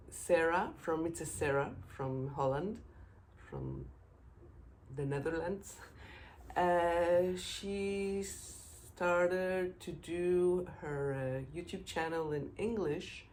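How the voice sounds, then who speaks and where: A middle-aged Israeli woman with a low voice talks slowly through her knitting podcast. Her English halts on "uh" and "um" as she hunts for words. A faint hum sits behind her. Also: a little mellow. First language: Hebrew. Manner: halting, frequent fillers, searching for English words. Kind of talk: casual knitting podcast monologue.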